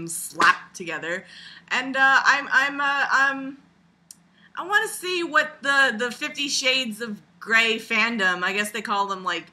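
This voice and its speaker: A woman giving casual, rambling video commentary in an animated, sarcastic way, with crude humor and frequent swearing. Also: medium pitch. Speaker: video blogger.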